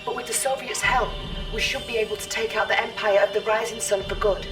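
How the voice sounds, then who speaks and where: A woman's voice: medium pitch, fairly even intonation, brisk, close to an everyday voice. Game briefing officer, actress: earnest, approachable female lieutenant, slightly off-mic over music. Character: earnest, friendly young female military lieutenant. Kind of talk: video game briefing acting. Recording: slightly distant, music behind.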